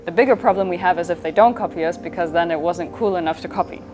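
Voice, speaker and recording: A woman's voice: on the low side, quick pace. A woman from Switzerland talking as a company founder in an interview, telling stories in a casual, conversational way and quoting imagined dialogue. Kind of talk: interview storytelling in casual speech. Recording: clear background music.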